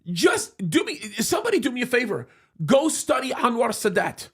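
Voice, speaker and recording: A man holds forth in a studio talk show with heated conviction. His voice sits high and carries a gravelly fry. He stumbles over restarts and doubled words as he presses his point. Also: huge pitch swings, moderate pace, a bit bright. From United States, of Egyptian parents. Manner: emphatic, with many restarts and repeated words.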